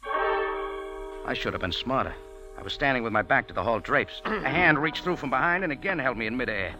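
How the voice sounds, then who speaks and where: An actor playing a tough, wisecracking cafe owner who narrates in a clipped, hard-boiled style, in 1940s radio acting. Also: male voice, medium pitch, animated, normal speed, bright, forceful tone, soft background music. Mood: dry. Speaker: lead and narrator.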